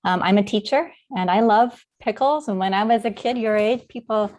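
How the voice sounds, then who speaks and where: A woman's voice: mid-range voice, moderate pace, a little mellow. Cheerful teacher woman from Canada. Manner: cheerful, laughing. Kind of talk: casual self-introduction.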